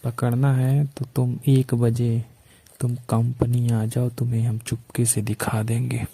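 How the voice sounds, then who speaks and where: A man's voice: mid-range voice, flat delivery, slow, gravelly, warm tone, breathy edge, exaggerated voice. A man playing a pushy, flirtatious neighbour in a staged phone conversation, coaxing in colloquial speech. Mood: gossiping, goading.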